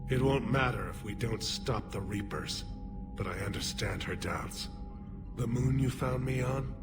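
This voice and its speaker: An actor voicing a dignified older alien military leader in a video game, grave and guarded, with a measured, formal manner. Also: male voice, medium pitch, over loud music, natural voice. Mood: solemn, reflective. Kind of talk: video game cutscene acting.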